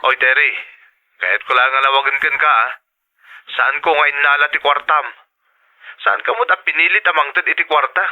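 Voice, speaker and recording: A man's voice: fairly high pitch, moderate pace, pauses often, narrow, tinny audio, close to an everyday voice. A man playing a callous, evasive sweetheart in a melodramatic audio drama. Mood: cold, scornful. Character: callous, evasive male sweetheart who scorns his lover. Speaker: drama actor.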